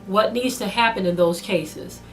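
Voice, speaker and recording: A middle-aged woman giving a spiritual teaching talk in a measured way, often pausing with ums. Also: mid-range voice, soft background music. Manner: measured, with frequent pauses and ums. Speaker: Bible teacher.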